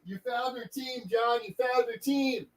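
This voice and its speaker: Husky woman speaking from off-mic. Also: a little high, measured pace. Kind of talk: casual offhand remarks. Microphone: off-mic, away from the microphone. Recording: quiet, distant from the mic.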